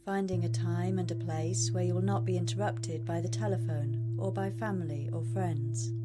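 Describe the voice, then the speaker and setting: A woman leading a guided meditation as a coach, speaking in a measured, soothing way with long pauses. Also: fairly low pitch.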